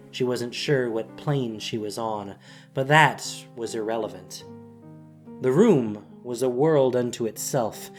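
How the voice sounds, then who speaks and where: A narrator reading a scripted fantasy story in a dramatic storytelling style. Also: medium pitch.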